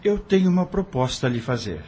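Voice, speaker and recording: A man's voice: mid-range voice, big pitch swings, a little fast, a little mellow, husky. An actor playing an earnest, resolute widowed father in an audio drama, speaking formally. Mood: earnest, tender.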